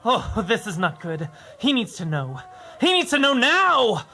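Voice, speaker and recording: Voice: mid-range voice, huge pitch swings, unhurried, pushed and bright, heavily acted. A performer voicing a small, portly, nervous alien who stammers and repeats words in a radio drama. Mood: fretful. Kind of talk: old-time radio drama acting.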